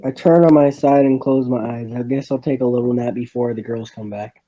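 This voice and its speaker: A man reading a first-person visual novel story aloud, haltingly and with stumbles over the words. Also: medium pitch, fairly even intonation, mellow, dull audio.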